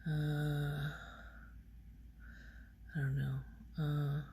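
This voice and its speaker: A woman speaking softly in an ASMR ramble, breathy and near a whisper at times, musing hesitantly as she thinks aloud. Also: deep voice, completely flat delivery, very slow, slight background noise. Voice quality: soft, breathy, often slipping toward a whisper. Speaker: ASMR video creator. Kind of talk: soft-spoken ASMR ramble.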